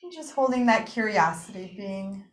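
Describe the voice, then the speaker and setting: A woman teaching breathing and yoga nidra, guiding a relaxation practice with gentle, invitational phrasing and pauses. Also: mid-range voice, lively intonation. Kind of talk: guided meditation and relaxation instruction. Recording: roomy, echoing room sound.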